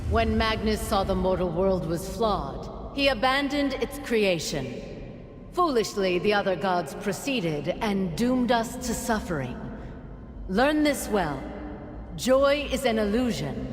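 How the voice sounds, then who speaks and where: An actress playing a stern, cold priestess mother in a video game, speaking solemnly and deliberately, her voice reverberating under music. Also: medium pitch, very animated, a little hiss or hum. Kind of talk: video game character acting. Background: clear music.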